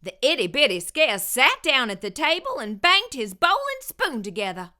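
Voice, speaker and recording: A woman hosting a story time and reading a picture book aloud, expressive and sing-song. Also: high voice, normal speed. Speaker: storyteller host.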